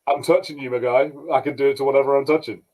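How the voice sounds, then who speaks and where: A man's voice: medium pitch, steady tone, rapid-fire, a little mellow, low-quality recording. A man co-hosting a podcast, discussing things casually as a fan. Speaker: podcast co-host.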